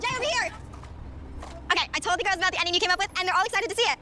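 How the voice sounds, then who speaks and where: A woman playing a peppy, proud teenage girl cheer captain in a web drama, brisk and assertive. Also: very high-pitched, a little hiss or hum. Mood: friendly. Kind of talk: scripted web drama acting. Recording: loud music behind the voice.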